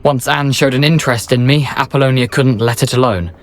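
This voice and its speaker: A man's voice: medium pitch, fairly quick, close to an everyday voice. A man voicing a wry, self-deprecating ghost of a rakish adventurer in a video game, with an eloquent, understated delivery. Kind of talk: video game character dialogue.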